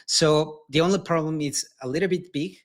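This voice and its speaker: Mexican-accented founder, earnest and repetitive. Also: male voice, fairly high pitch, moderate pace. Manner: earnest, repeats words, non-native phrasing. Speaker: startup founder guest. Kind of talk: casual interview answers.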